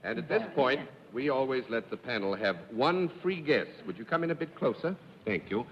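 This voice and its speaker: Man, lively joking game show host, dull old broadcast sound, long studio reverb. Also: mid-range voice, moderate pace, crowd noise. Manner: genial, joking, laughs often. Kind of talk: live TV game show hosting.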